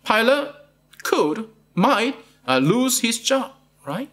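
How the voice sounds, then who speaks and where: A man's voice: extremely high pitch, big pitch swings, takes it slowly, a bit bright. A middle-aged man with a Malaysian accent preaching a sermon in a studio, asking "right?" after nearly every point and repeating his words. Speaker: pastor. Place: studio with plain blue backdrop.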